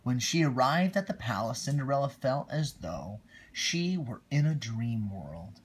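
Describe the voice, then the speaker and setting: A man reading a bedtime story aloud to his child on a phone livestream, expressive with quiet asides. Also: medium pitch, measured pace, husky.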